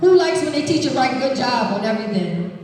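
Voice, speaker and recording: A woman, an energetic children's author reading aloud live to a school audience, projecting and engaging listeners with questions. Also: high-pitched, a little fast. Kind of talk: live read-aloud, call-and-response with children. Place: large echoey room at an elementary school. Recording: very echoey hall, distant mic.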